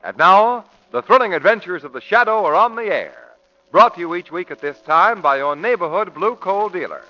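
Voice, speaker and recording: Male vintage radio announcer: slightly high, forceful, emphatic scripted pitch, muffled old broadcast. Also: lively intonation, moderate pace. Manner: emphatic, formal, crisp selling delivery. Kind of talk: 1940s radio announcing, scripted narration and ad read.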